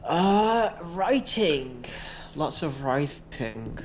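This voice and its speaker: A man chatting casually on a livestream, relaxed and joking. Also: a little high, extremely slow, lo-fi sound, a little hiss or hum. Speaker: co-host and writer.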